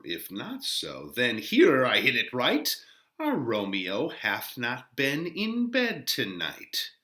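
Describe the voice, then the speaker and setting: A man playing a gentle, fatherly older friar, reciting Shakespearean verse in a measured, drawn-out way. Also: medium pitch, reverberant, full character voice. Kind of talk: dramatic reading of Shakespearean verse.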